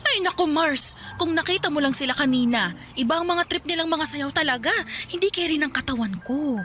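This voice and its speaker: A slangy, chatty older girlfriend in a radio drama. Her voice sits on the high side, with music clearly playing behind her. She sounds like someone out of place among youngsters. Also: brisk, a bit bright. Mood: bored, gossipy. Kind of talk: Tagalog radio drama dialogue.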